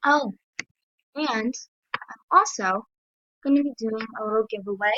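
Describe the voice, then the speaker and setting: A tired woman with a dry throat, hosting a casual gaming video in a soft, hesitant, apologetic way with many pauses and ums. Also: fairly high pitch, a little hiss or hum. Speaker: gaming channel host. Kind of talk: casual game commentary. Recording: dull lo-fi mic.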